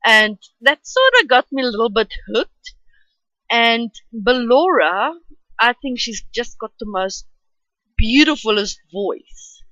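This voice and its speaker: A woman's voice: on the high side, slow, bright, forceful tone, dry recording, highs cut off. A woman with an Afrikaans accent, whose first language is Afrikaans, chats and rambles with many ums while narrating her drawing at home. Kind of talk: casual voice-over for a speed drawing.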